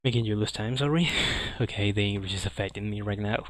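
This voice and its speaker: A man gives live gameplay commentary in hesitant, halting English. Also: fairly low pitch, dry recording. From Latin America. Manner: hesitant, halting non-native English phrasing. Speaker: speedrunner commentating own game run. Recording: loud game music throughout. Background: loud video game music.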